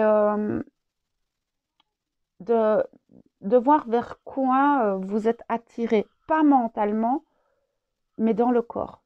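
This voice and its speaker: A woman's voice: a little high, gravelly, dull audio. A French woman with a head cold, channeling a spiritual message, hesitant with many fillers and repeated words.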